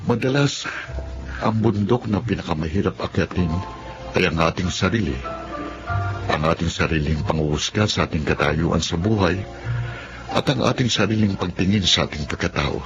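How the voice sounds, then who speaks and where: An actor with a Filipino accent playing an ashamed, defiant young son in an emotional radio drama, speaking earnestly. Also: male voice, low voice, moderate pace. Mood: reflective, grateful. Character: ashamed, defiant young son hiding his family's poverty. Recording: muffled radio drama, music underneath.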